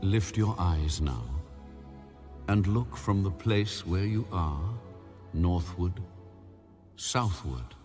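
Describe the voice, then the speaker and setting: A man as very deep, slow divine voice. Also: darkish tone, soft background music. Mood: solemn, majestic. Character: God, solemn, commanding male divine voice. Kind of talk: audio scripture drama.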